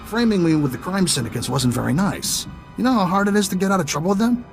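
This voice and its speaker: A man's voice: sits high, expressive, fast talker, creaky, music in the background. A man playing a smug, taunting, roguish smuggler villain in a video game cutscene. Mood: mocking. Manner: glib, mocking.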